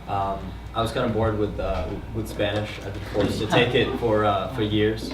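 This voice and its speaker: A teenage boy with a lowish voice answers a panel question, hesitating often and filling pauses. He sounds far from the mic in an echoey hall, with steady noise behind him. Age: late teens. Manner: hesitant, many fillers. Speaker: high school student panelist. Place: community event hall.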